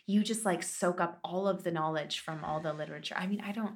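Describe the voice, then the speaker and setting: A woman's voice: fairly low pitch, fairly quick. A woman in her early thirties co-hosting a podcast, talking casually and rambling with many hesitations and fillers. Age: 30s. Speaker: podcast co-host. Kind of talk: casual chat.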